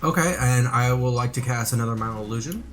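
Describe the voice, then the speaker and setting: Middle-pitched man playing a tabletop game. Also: fast, soft background music. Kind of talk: casual game-table chat.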